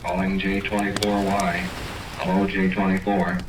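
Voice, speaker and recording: Radio operator, low monotone, clipped formal calls, far-off transmission, 1930s radio drama. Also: male voice, moderate pace. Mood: urgent, formal. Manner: clipped, formal, repetitive call-sign delivery. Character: businesslike male radio operator calling a lost station. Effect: distant radio transmission. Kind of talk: 1930s radio serial acting. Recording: distant, noisy vintage radio recording.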